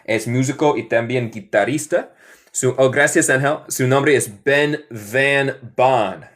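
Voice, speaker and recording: An American man from Michigan, an English teacher, chatting casually on a livestream in halting Spanish with many fillers and switches into English. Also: medium pitch, a bit bright. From Michigan, USA.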